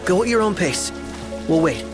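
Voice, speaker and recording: A man's voice: high-pitched, lively intonation, moderate pace, over music. An actor playing a light-hearted, slightly nervous young man in a video game, casual and boyish in manner. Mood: concerned, uneasy.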